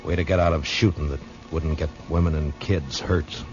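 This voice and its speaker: Deep-voiced grim American western marshal. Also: male voice, fairly even intonation, normal speed. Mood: grave, reflective. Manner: laconic, authoritative. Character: grim, steady US marshal in the Old West. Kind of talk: 1950s radio western acting and narration. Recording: dull vintage 1950s radio recording with music.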